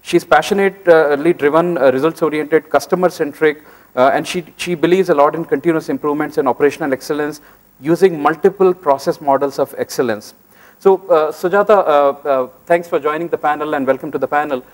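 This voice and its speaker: A man's voice: fairly high pitch, a little fast. Male moderator, middle-aged, filler-heavy delivery, lapel mic in a conference hall. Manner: hesitant, many filler sounds. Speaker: panel moderator. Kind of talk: conference panel introduction. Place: large conference hall stage.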